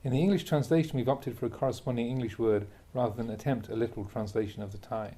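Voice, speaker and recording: A man, a Buddhist monk, reads a translated spiritual talk aloud in a measured, emphatic way, posing rhetorical questions. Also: mid-range voice, fairly even intonation, dark tone. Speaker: Buddhist monk reading a teacher's talks aloud. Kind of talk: reading a translated Dharma talk aloud, with brief asides.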